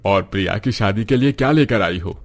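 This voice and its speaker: A man's voice: fairly low pitch, a little fast. A man voicing a middle-aged father and husband in a cartoon, concerned, questioning and reproachful.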